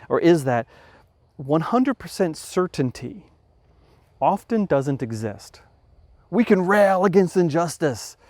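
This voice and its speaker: Man, podcast host, mid-range pitch, dry close sound, thoughtful, reasoning aloud. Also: darkish tone, no room sound. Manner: thoughtful, measured, frequent restarts while reasoning aloud. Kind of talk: explanatory talk on ethics.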